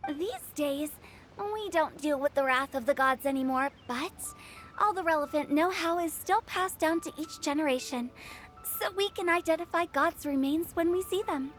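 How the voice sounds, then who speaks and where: A woman's voice: high-pitched, a bit bright. A woman voicing a playful, mischievous young funeral director in a video game, teasing and spinning old tales. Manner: teasing, theatrical, then storytelling. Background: game music.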